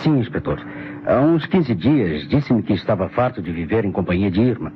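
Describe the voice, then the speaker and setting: An actor as a defensive lawyer, lowish and animated. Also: male voice, normal speed, close to an everyday voice. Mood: confessing. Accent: Brazilian. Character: defensive male lawyer, confessed accomplice in a prank. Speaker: radio drama actor. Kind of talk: old Brazilian radio mystery acting. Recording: muffled, noisy vintage radio recording.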